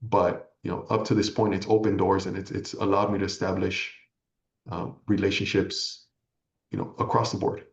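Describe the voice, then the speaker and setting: A man from California whose first language is Spanish, giving earnest, measured, reflective answers as an interview guest. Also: low voice, level tone. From California, United States. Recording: echoey room, slightly dull audio.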